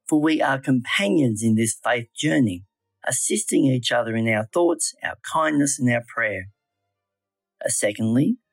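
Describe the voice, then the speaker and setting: Slow, measured man giving a reflection. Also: mid-range voice, a bit bright, no room sound. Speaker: lay reflection speaker. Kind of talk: scripted spiritual reflection. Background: background music.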